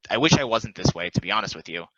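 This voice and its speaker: A man's voice: on the low side, expressive, fast, noisy background, lightly acted. A man playing a self-deprecating, philosophical and restless immortal football player, rambling confidingly in a casual stage play reading. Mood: confiding, reflective. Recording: dry, slightly dull recording.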